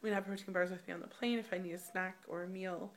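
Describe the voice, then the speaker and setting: A woman in her thirties speaking candidly in a casual personal vlog, her voice slightly rough, with many ums. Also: medium pitch, fairly even intonation, rapid-fire. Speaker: vlogger. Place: hotel room.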